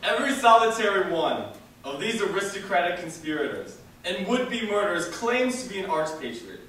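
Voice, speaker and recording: A young man reading a political speech as theatrical oratory, declaiming with dramatic pauses and emphasis. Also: high voice, sing-song, fairly bright tone. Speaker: reader of a historical speech. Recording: echoey hall from far off, faint noise.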